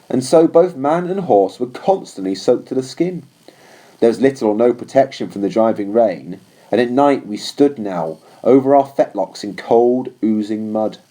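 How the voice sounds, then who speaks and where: A man's voice: medium pitch, warm tone, poor audio. A man reading a scripted story aloud as narrator of an audiobook. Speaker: audiobook reader.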